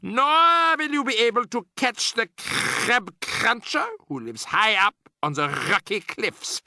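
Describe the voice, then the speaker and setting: A man reading a storybook aloud, voicing a shrill, imperious old female witch queen who draws out her words and barks commands. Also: lively intonation, bright, forceful tone, no room sound, studio quality, full character voice. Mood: commanding. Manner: drawn-out, shrieking and commanding.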